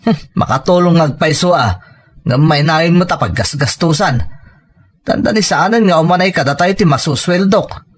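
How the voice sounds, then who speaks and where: A man's voice: fairly high pitch, moderate pace, natural voice. A man with an Ilocano accent playing a stern, grumbling husband weary of household expenses, complaining emphatically in a serial drama. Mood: annoyed, complaining. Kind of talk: radio-style serial drama acting.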